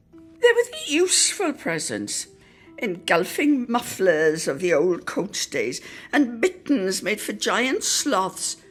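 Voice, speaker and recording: A woman's voice: mid-range voice, husky. A woman giving a dramatic, theatrical reading of a poetic literary memoir, drawing out phrases for emphasis. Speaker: solo reader.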